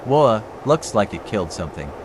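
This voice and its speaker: Man as deep-voiced, matter-of-fact devil hunter. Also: sing-song, normal speed. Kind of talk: fan voice dub of manga. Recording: very noisy track with street ambience.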